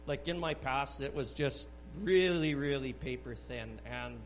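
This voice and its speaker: A man sharing a personal testimony at a church service, speaking earnestly and haltingly with many restarts. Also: mid-range voice, a little hiss or hum. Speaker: church member giving a testimony. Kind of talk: rambling spoken testimony.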